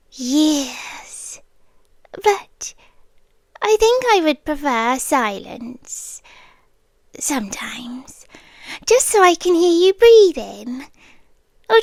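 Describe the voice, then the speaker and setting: A woman voicing a possessive, obsessive hive queen in an audio roleplay, speaking slowly and intimately, often in a whisper, sweet and quietly threatening. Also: sits high, no room sound, exaggerated voice. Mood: teasing, sweetly menacing.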